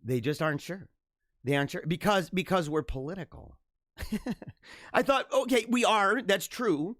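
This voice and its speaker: A man's voice: high voice, expressive, a little slow, a bit bright, no room sound, studio quality. A man hosting a live-streamed talk show, chatting casually with viewers and reading their comments. He chuckles often and repeats phrases as he talks.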